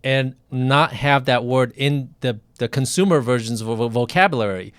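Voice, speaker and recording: A man's voice: medium pitch, normal speed, fairly bright tone, clear, resonant tone, dry recording. A man who is a physician, hosting an online interview and chatting candidly, with frequent restarts. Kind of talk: casual interview over video.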